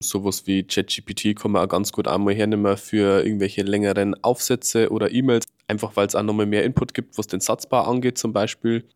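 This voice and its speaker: A man's voice: lowish voice, darkish tone. A man from southern Germany who edits marketing videos, giving casual, unscripted interview answers.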